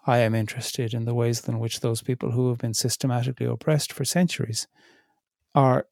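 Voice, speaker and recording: A middle-aged man speaking reflectively as an interview guest, thoughtful and searching, pausing and restarting as he works through his ideas. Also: medium pitch, level tone, creaky, a little mellow, no room sound, studio quality. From Ireland. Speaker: theologian in residence, interview guest.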